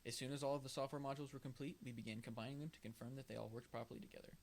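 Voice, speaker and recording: Young male student presenter, brisk scripted technical read, noisy recording. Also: medium pitch, fairly even intonation. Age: young adult. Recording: clear background noise.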